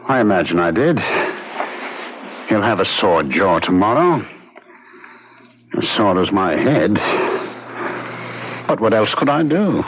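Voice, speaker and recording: An actor playing a coldly manipulative young doctor, a commanding villain in a radio horror drama with a theatrical delivery. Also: male voice, on the low side, lively intonation, slow, long pauses, noisy background. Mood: scornful. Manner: commanding, smooth-talking, theatrical. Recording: muffled old radio recording.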